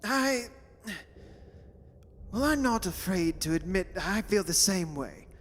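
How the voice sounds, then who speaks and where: A man's voice: sits high, animated, takes it slowly, a bit bright, full character voice. A man playing a stubborn, scoffing father in a melodramatic radio horror play, theatrical and blustering. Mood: uneasy. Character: stubborn, scoffing father who mocks an old legend. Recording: long hall reverb.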